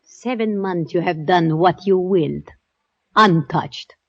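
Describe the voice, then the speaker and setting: Hard-bitten defiant woman, slow deliberate delivery, scornful, declamatory, radio play, dull old audio. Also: lowish voice. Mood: bitter, weary. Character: sullen, defiant, world-weary woman of the underworld. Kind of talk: radio drama acting.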